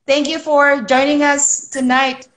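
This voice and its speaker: A woman's voice: high voice, level tone, a little slow, a bit bright, rough recording. A woman hosting a casual live-stream interview over a video call, hesitant, with many fillers and repeated words. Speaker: interview host.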